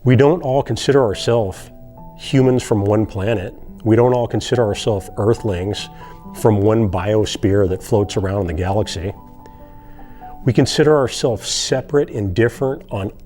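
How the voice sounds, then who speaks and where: A man delivering an impassioned spoken monologue, building his points through repetition and slow, emphatic pauses. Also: medium pitch, warm tone. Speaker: online video essayist.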